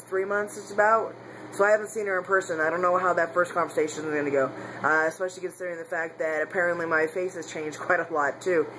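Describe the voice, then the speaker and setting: A man speaks in a candid, confessional vlog, his voice sitting a little higher than most men's. He rambles, doubles back and corrects himself mid-sentence. A faint background hum sits under his voice. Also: bright, forceful tone, poor audio. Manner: rambling, frequent restarts and self-corrections. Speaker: vlogger. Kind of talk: casual personal vlog.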